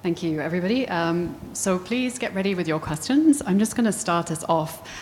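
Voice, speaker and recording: A woman moderating a panel discussion in a relaxed way, with fillers. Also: on the low side, moderate pace, a little hiss or hum. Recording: reverberant hall with faint ambience.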